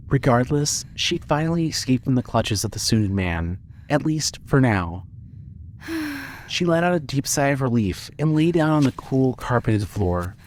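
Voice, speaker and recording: A man's voice: medium pitch, darkish tone, slight background noise. Man narrating a dramatic horror story.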